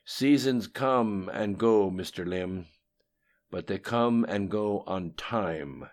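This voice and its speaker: A man voicing a menacing ancient being in an audiobook, gravelly, grandiose and contemptuous. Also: on the low side, takes it slowly, darkish tone. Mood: cold, impatient. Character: menacing ancient male being speaking through a screen. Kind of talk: audiobook character dialogue.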